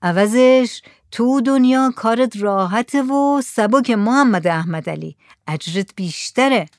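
A woman's voice: on the high side, animated, darkish tone, no room sound, studio quality, voice pushed up. A woman reading the lines of a poor, resigned village drummer.

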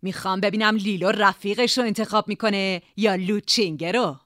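A man doing a high, sneering young villain. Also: fairly even intonation, no room sound, clean studio sound. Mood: cold. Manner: taunting, shouting threats. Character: arrogant cruel young villain, mocking and ruthless. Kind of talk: audiobook character voice.

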